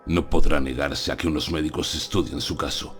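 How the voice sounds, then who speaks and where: A man's voice: very deep voice, fairly even intonation, fairly quick, a bit bright. A man playing a solemn, persuasive older professor in a dramatized audiobook. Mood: earnest, persuasive. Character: solemn, persuasive older male professor confiding terrible truths. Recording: music under the voice.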